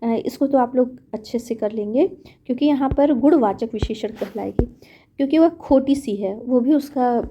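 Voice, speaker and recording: Female teacher, high warm voice, patient step-by-step explainer. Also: moderate pace, a little hiss or hum. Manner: patient, repeats points with thinking fillers. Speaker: exam prep teacher. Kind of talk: explaining grammar questions step by step.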